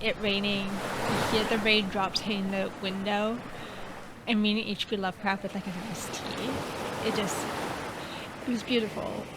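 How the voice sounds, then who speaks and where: A woman doing casual playthrough commentary, informal and expressive, sometimes reading text aloud. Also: medium pitch, moderate pace, very noisy. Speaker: gaming video creator. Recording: loud ocean waves and game ambience behind voice. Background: game's sea ambience.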